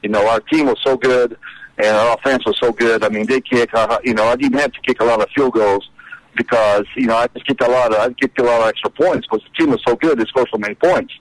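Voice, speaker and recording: An older man with a Brazilian accent, Portuguese his first language, speaks at a middle pitch. He talks in halting bursts, repeating words and restarting often. The sound is very dry and close, with no room around it. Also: dry recording, poor audio. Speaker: guest, former college kicker. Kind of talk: casual interview reminiscing.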